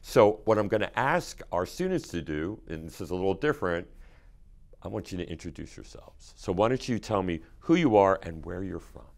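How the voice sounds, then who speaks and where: A man's voice: low-pitched, lively intonation, moderate pace, creaky. A mature man hosting a podcast interview as a medical school dean.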